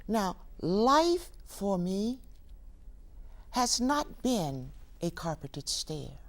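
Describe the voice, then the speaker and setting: Actress doing a theatrical older lady monologue, really slow, swoops up and down, wry and defiant. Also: medium pitch, big pitch swings, heavily acted. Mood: reflective. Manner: deliberate, dramatic pauses, emphatic swings. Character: grieving, defiant, wry ageing woman telling her story. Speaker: stage actor performing a monologue.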